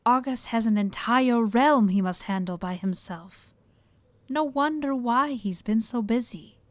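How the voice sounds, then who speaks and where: An actress voicing a gentle goddess who mediates, measured, earnest and conciliatory, in an audio drama. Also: female voice, a little high, no echo, lightly acted. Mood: defending.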